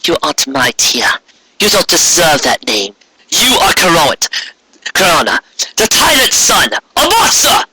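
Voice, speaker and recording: A man's voice: high-pitched, wildly swooping pitch, unhurried, sharp tone, husky, dry recording, pitched above the performer's own voice, full character voice, rough recording. A man voicing a defiant, bitter alicorn princess in a storybook reading, accusing and sarcastic. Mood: bitter condemnation.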